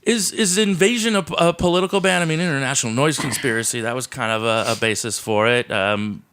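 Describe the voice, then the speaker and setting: A man hosting a radio show, interviewing a guest with short questions and quick agreeing replies. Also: medium pitch, a bit bright, clear, resonant tone. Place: studio.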